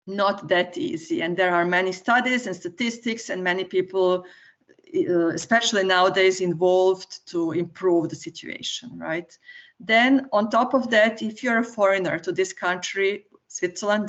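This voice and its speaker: A woman's voice: mid-range voice, highs cut off. A woman from Serbia, a guest on a podcast, explaining her views and often ending points with a questioning "right?". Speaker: guest, entrepreneur. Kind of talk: podcast interview answer. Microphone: remote call.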